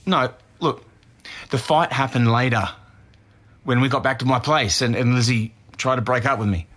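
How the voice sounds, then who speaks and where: A man's voice: medium pitch, normal speed, a bit bright, natural voice. A man acting as a defensive Australian murder suspect in his twenties, speaking earnestly in blunt slang in an interview-style audio drama. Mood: earnest, pained. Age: 20s.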